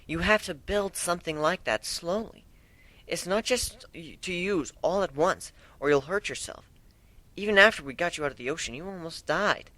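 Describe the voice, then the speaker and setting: A studious young female pony magician, earnest and inclined to lecture, performed by a man. The voice is lifted fairly high and stays bright. It sounds dry and close, like a storybook reading of a cartoon tale. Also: moderate pace, dry recording. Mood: earnest explaining. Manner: lecturing, explanatory.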